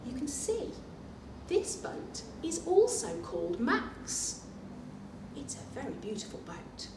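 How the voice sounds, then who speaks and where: A woman reads a picture book to small children in a very slow, expressive storytelling voice. Her pitch is on the high side and she often turns to the listeners with asides and questions. The room is echoey around her. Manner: animated, expressive, with gasps and questions to the young listeners. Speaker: librarian reading to young children. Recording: echoey room, distant mic, faint noise.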